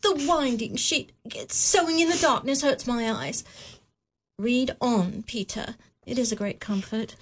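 An actress playing a worn, grieving mother in a radio drama, quiet and tender. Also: on the high side, a little slow.

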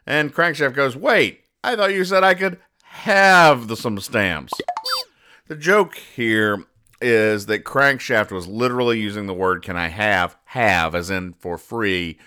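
A man hosting a comedy podcast, reading out comic strips and explaining them in a deadpan, over-literal way. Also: lowish voice, clean tone. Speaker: podcast host.

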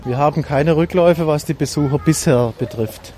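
A man's voice: on the high side, moderate pace, dark tone, soft background music. A man, a town official, explaining things matter-of-factly during an outdoor interview on a walking tour.

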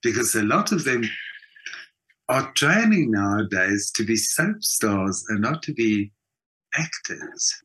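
A man's voice: lowish voice, very animated, slow, bright, forceful tone, low-quality recording. A man with a South African accent giving an opinionated, emphatic answer in a conversational interview. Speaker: interview guest, theater actor and singer.

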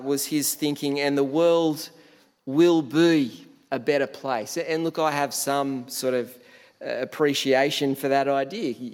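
A man's voice: a little high, smooth, big-room sound. A man from Australia, preaching a sermon in a conversational way, with frequent fillers and restarts.

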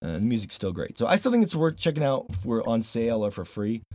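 A man's voice: mid-range voice, fast talker, no echo. A man hosting a casual podcast, chatting loosely with some swearing and reading a sponsor plug. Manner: swearing, animated, reads sponsor plugs. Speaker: podcast host.

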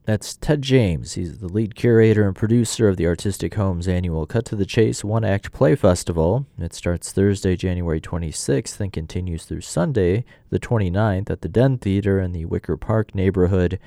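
Deep, mellow American radio host. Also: male voice, moderate pace, creaky, few pauses, dry recording, clean studio sound. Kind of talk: scripted arts news narration.

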